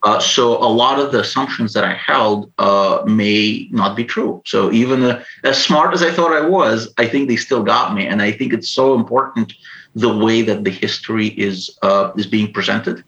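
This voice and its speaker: A middle-aged man from Ukraine, raised speaking Russian, with a fairly low voice. He answers interview questions thoughtfully, pausing on frequent "uh"s and repeating words as he works through his ideas. His audio comes through a dull, muffled lo-fi connection. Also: a bit bright. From Ukraine, raised in the Soviet Union. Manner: thoughtful, hesitant, many uh fillers and repeats. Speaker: podcast guest, writer.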